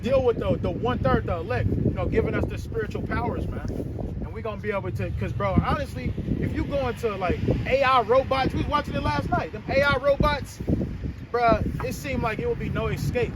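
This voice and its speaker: A man's voice: extremely high pitch, fairly quick. A man teaching scripture to a group, talking casually and emphatically, and often prompting the reader to go on. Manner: emphatic, colloquial, keeps prompting the reader with "right, go ahead". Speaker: street teacher leading a scripture class. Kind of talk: loose spoken Bible teaching. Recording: loud wind noise on the mic.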